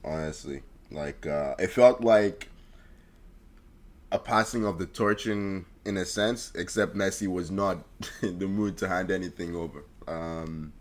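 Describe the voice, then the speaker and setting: A man's voice: sits low, a little slow. A man co-hosting a football podcast, talking casually and informally, with some swearing. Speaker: podcast co-host.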